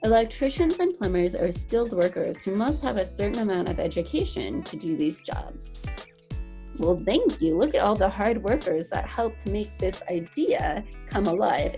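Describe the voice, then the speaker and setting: A woman reading a picture book aloud to young children in an animated, encouraging way, explaining things simply as a teacher would. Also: medium pitch.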